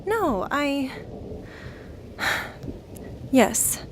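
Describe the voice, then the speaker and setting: An actress playing a timid, earnest young assistant who speaks hesitantly in a radio drama. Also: female voice, sits high, sing-song, drawn-out words, a little hiss or hum, full character voice, rough recording.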